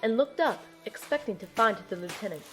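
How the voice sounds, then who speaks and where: A woman's voice: mid-range voice, expressive, a little fast, faint music behind, lightly acted. An actress playing an earnest young girl in an audio drama, telling the story in first person and speaking in it. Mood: tense narration. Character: earnest young girl narrating her team's battle.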